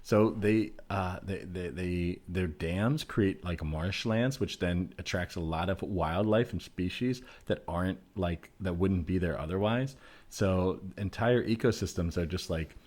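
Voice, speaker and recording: A man improvising an earnest, easygoing tattooed nature enthusiast in a comedy sketch, talking haltingly and repeating words as he searches for phrasing. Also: low voice, darkish tone. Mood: earnest, musing.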